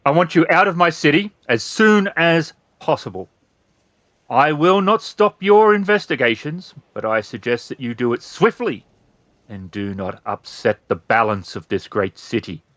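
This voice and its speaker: Man playing a high-pitched, haughty irritable governor. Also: lively intonation, normal speed, fairly bright tone, no room sound. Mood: irritated, grudging. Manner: imperious, contemptuous, scolding. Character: haughty, irritable middle-aged male samurai governor, drinking.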